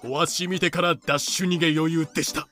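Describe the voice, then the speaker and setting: A man's voice: a little high, steady tone, fast, pushed and bright, soft background music. A man voicing a confident, matter-of-fact cockroach, explaining in casual masculine phrasing for a fan-made voice drama. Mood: confident, explanatory.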